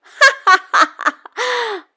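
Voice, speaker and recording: A woman's voice: very high-pitched, expressive, very slow, pushed and bright, pitched above the performer's own voice, full character voice. A woman voicing a vengeful young witch in a children's cartoon story, with a mocking, cackling manner. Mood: gloating, taunting. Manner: taunting, cackling.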